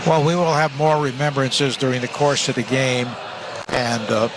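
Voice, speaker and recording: A man, a play-by-play radio broadcaster, wrapping up a segment in a steady, formal delivery from the ballpark booth. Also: mid-range voice, moderate pace. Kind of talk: live broadcast wrap-up. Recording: booth with loud ballpark noise.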